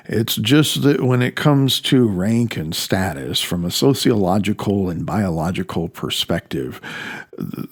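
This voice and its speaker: A man's voice: medium pitch, creaky. A man narrating his own audiobook as its author, in a conversational way with wry, sardonic asides.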